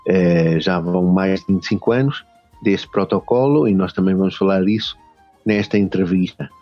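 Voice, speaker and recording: A man's voice: low-pitched, normal speed, dull audio, soft background music. A man with a European Portuguese accent hosting a radio interview, hesitant and full of fillers. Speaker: radio host.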